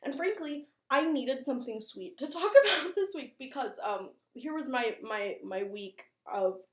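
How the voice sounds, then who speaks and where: A woman's voice: sits high, lively intonation, normal speed, a bit bright. A woman giving casual video commentary as a host, chatty, rambling and self-deprecating. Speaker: host of a horror video channel. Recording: roomy, echoing room sound.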